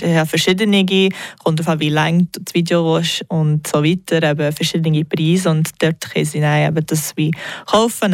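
A young woman with a slightly gravelly, fry-tinged voice talks in an easy, chatty way, pausing often to gather her thoughts. Her first language is English. The sound is close and dry, with no room around it. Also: deep voice, dry recording. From Switzerland. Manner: relaxed, chatty, frequent hesitations and laughs. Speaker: tarot reader. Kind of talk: casual interview answers.

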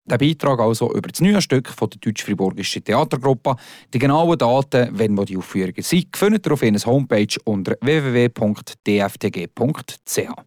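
Relaxed Swiss German radio host. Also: male voice, medium pitch, fairly quick, no room sound, studio quality. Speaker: radio presenter. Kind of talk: radio magazine presenting.

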